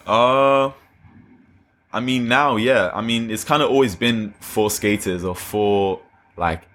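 A man's voice: fairly low pitch, slow pace, a bit bright. A young man from Australia and Nigeria, a skater guest chatting loosely with slang and lots of fillers. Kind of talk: casual chat.

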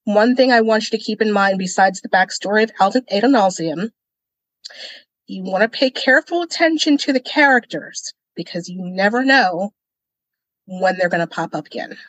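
A woman's voice: mid-range voice, moderate pace, dry recording, rough recording. A woman co-hosting a casual book discussion, explaining things as a guide to the listener. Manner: explanatory, guiding. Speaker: podcast co-host.

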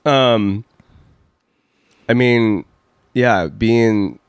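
A man's voice: fairly low pitch, very slow, clear, resonant tone, no echo, studio quality. A man in his forties co-hosting a podcast in loose, joking casual chat, swearing freely. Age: 40s. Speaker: podcast co-host.

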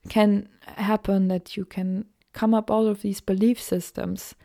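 Soft-spoken German-accented woman, gravelly. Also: mid-range voice, level tone, unhurried. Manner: gentle, searching, with soft whispered asides. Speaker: podcast host. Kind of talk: solo spiritual podcast talk.

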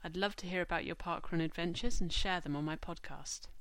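A woman hosts a scripted podcast, her voice a little stuffed up from a cold. She talks in a measured, informative way and slows right down when she reads out lists. Her voice sits fairly low and is recorded dry and close to the mic. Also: a bit bright, dry recording. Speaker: podcast host.

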